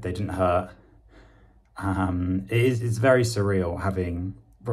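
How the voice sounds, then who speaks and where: A young man with a British accent talking casually to camera in a halting, understated way, with a husky, gravelly voice. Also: low voice, a little mellow. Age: young adult. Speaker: vlogger. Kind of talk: casual vlog talk to camera.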